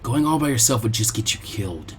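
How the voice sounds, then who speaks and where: A man's voice: mid-range voice, expressive, a little fast, breathy edge, voice pushed down. A man voicing a laconic, analytical teenage boy ninja strategist in a story reading, with a dry, reasoning manner. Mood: cautioning.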